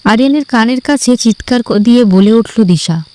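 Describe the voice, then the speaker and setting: A woman's voice: fairly high pitch, moderate pace, mellow. A woman reading scripted narration for an audio love story.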